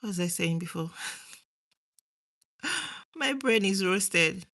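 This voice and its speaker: Nigerian woman, low bright voice. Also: lively intonation, slow. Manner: rambling, chats with viewers, trails off. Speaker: livestream host. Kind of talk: casual livestream chat.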